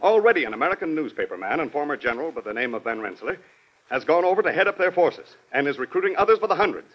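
An actor playing an urgent, respectful army general giving a formal briefing in an old-time radio drama. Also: male voice, fairly high pitch, very animated, rapid-fire. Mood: urgent, grave. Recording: dull lo-fi vintage radio recording.

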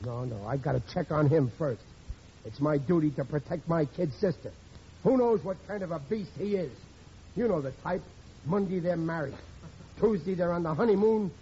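An actor playing a nervous, gullible middle-aged working-class husband in 1940s radio comedy, excitable and muddled in his speech. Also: fairly high pitch, normal speed, natural voice. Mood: nervous, agitated. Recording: muffled, noisy old radio recording.

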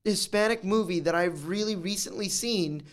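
A man with a notably high voice, talking in a loose, casual discussion. He is animated and keeps acting out little quoted exchanges as he makes his points. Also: normal speed. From United States.

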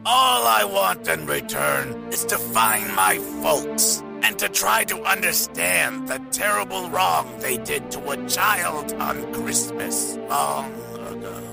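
A deeply gravelly male monster, bitter and self-pitying, his pitch swooping wildly. He delivers each line with theatrical menace, like the outcast villain of an audio drama. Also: very animated, slow, hardly any pauses, over loud music, full character voice. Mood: mournful. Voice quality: gravelly, croaking. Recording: echoey, cavernous reverberant space.

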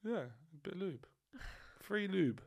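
A young man co-hosts a casual podcast chat. He is blocked up and nasal, with a husky edge. He jokes crudely and swears freely. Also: high-pitched, lively intonation, measured pace. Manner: crude, joking banter with frequent swearing. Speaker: podcast co-host.

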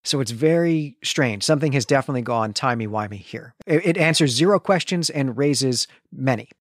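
A man's voice: medium pitch, animated, brisk, dry recording, clean studio sound. A man co-hosting a podcast, talking through a story in an articulate, explanatory way. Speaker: podcast host. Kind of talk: literary discussion and recap.

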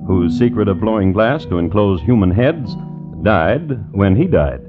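Male radio host, low animated voice, dry droll crime narration, deliberate, muffled vintage recording with music. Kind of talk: old-time radio scripted crime narration.

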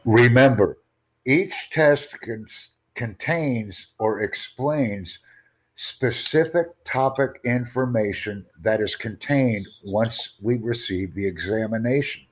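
A man hosting an exam-prep podcast, teaching in a slow, formal, deliberate way and asking rhetorical questions. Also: medium pitch, poor audio. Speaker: IELTS tutor and podcast host.

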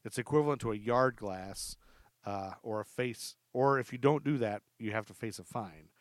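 A man with a mid-pitched voice hosts a podcast in a casual, comic storytelling style. He is chatty and amused and likes to repeat a fact for emphasis. The sound is dry and close. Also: normal speed, no room sound. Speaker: podcast host.

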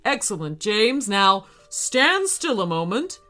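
A woman voicing a cheerful, brisk male wizard schoolteacher in a children's story, speaking encouragingly. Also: mid-range voice, big pitch swings, pushed and bright, soft background music, full character voice. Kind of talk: storybook character voice.

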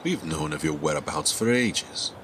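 An actor playing a solemn, loyal royal retainer who speaks formally and gravely in a fantasy audio drama. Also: male voice, extremely low pitch, lively intonation, normal speed, a bit bright, a little hiss or hum.

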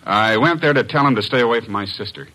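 An actor playing an earnest, anxious, plain-spoken client of a detective in a vintage radio drama. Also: male voice, lowish voice, fast talker, bright, forceful tone, low-quality recording. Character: earnest, anxious male client cleared of murder.

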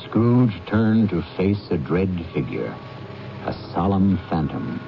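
A man hosting and narrating a radio drama in a grave, deliberate, suspenseful manner. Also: low-pitched, expressive, creaky, full character voice. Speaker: host and narrator. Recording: dull 1970s radio recording with music.

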